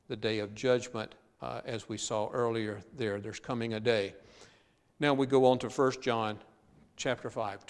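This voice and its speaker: A man preaching a sermon in earnest, slowing down and drawing out key words for emphasis. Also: medium pitch. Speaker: pastor. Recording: long hall reverb.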